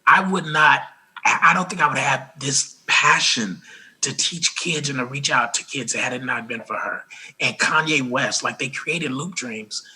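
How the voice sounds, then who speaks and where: Raspy-voiced man, bright and earnest. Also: mid-range voice, poor audio. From Los Angeles. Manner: earnest, grateful, halting with restarts. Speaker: interview guest. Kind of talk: casual interview talk.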